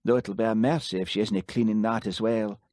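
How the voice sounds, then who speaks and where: A man's voice: lowish voice, steady tone, brisk, darkish tone, no room sound, studio quality, pitched above the performer's own voice, natural voice. A man voicing a gloomy, henpecked middle-aged man who grumbles about his wife's endless washing. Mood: gloomy grumbling.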